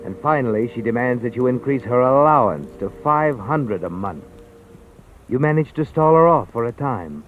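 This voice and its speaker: Brief: male actor as brooding suspense narrator, vintage radio drama, animated pitch, thin phone-like sound over faint music. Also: normal speed, close to an everyday voice. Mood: measured suspense. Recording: tinny old radio broadcast, faint music.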